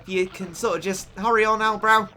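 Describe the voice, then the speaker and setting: A young man commentating a mobile game, excitable and cheeky, stammering on his repeats, his voice getting worn from shouting. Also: high-pitched, a little fast. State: losing his voice. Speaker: gaming YouTuber.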